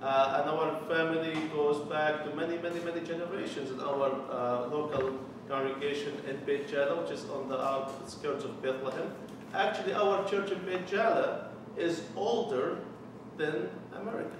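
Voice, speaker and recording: A man's voice: fairly high pitch, steady tone, normal speed, few pauses. Palestinian-accented man, echoey distant room. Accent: Palestinian Arabic. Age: 40s. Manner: earnest, with wry jokes. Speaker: Lutheran mission developer. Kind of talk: personal testimony talk to a committee. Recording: echoey room from far off, with noise.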